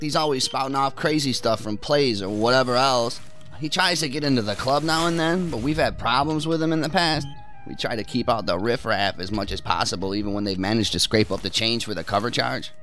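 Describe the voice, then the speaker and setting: A man's voice: mid-range voice, brisk, fairly bright tone, soft background music, close to an everyday voice. An actor playing a cynical, irritated son of a wealthy man in an audio drama, with a scornful, dismissive manner. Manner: scornful, dismissive, chuckling sarcasm. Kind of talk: naturalistic audio drama acting.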